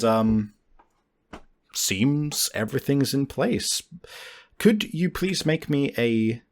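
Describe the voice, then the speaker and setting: A man narrating a tabletop game as game master in a casual, sweary, improvised way. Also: mid-range voice.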